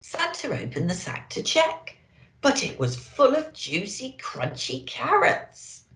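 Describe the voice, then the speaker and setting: A woman's voice: high-pitched, wildly swooping pitch, slow pace, a bit bright, roomy sound. A woman reading a children's storybook expressively as a Christmas elf storyteller, with dramatic emphasis.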